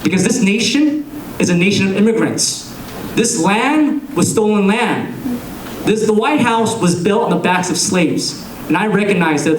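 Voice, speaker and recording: A young man speaks to a crowded room in a somewhat higher voice, earnest and measured, breaking his lines into short phrases. The sound bounces around the room. The mic sits some way off, with faint ambient noise behind him. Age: young adult. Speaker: political candidate. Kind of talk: campaign speech. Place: large room with an audience. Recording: echoey room, captured from a distance.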